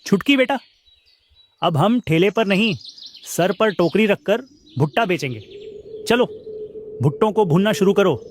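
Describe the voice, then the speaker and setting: Man voicing a high, lively sparrow father. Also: measured pace, pauses often, slight background noise. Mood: resolute. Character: plucky, honest male sparrow father and corn seller. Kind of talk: Hindi kids' cartoon dialogue.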